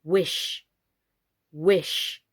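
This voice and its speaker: A bossy little wooden doll from a children's storybook, played by a woman. Each word comes slowly and firmly, with a hard, sharp edge. The tone is commanding and sure of itself. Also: fairly low pitch, fairly even intonation, voice pushed down.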